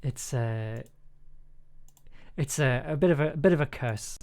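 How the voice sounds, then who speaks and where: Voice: mid-range voice, measured pace, darkish tone. An enthusiastic audio producer chatting casually and effusively on a livestream while editing. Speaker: audio drama producer and streamer.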